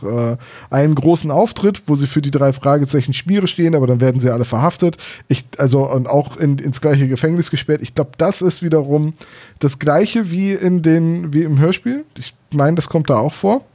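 Mellow, higher-voiced man explaining in detail. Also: normal speed. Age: 30s. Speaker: podcast co-host. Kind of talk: casual discussion of audio dramas and books.

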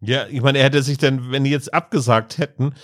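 A man's voice: medium pitch, steady tone, fairly quick, no echo, studio quality. A man co-hosting a podcast, joking in casual chat. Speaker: podcast host. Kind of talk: casual chat about an audio drama.

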